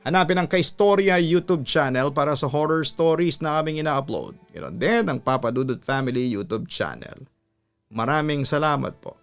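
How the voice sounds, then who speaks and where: A Filipino man working as a radio host, reading listener letters and giving advice in a measured, earnest delivery. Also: on the high side, expressive, vocal fry. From Philippines. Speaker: radio announcer and story channel host. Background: background music.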